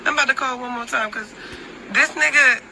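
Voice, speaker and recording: A woman's voice: high-pitched, fairly quick, gravelly. A woman in a casual, heated argument, blunt and taunting, on a phone clip. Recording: very noisy phone clip, vehicle sounds.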